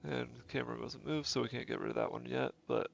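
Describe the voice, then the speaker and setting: Stuffy-nosed gravelly streamer man. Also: medium pitch, steady tone, quick pace. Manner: thinking aloud, self-correcting, easygoing. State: stuffy nose from a cold. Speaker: game streamer. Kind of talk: casual gameplay commentary. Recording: dull lo-fi stream audio. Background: faint game music.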